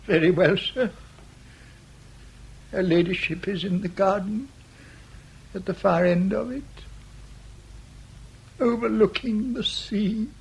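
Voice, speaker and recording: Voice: mid-range voice, slow, creaky, pauses often, darkish tone, rough recording. An actor playing a loyal, protective household servant in a period radio drama, formal and deferential but firm. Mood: resigned.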